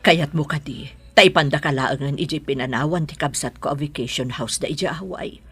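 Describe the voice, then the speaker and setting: Woman as a low, very husky worried mother. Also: fairly quick. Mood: reassuring. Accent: Ilocano. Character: worried, gentle mother of grown children. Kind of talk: Ilocano radio drama acting.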